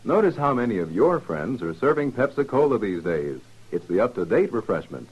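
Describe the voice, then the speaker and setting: A man's voice: mid-range voice, sing-song, fairly quick, over music. A man playing a genial partner in a vintage radio advertisement, speaking in a conversational way. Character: amiable male partner in soft-drink radio ad. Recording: dull vintage radio recording.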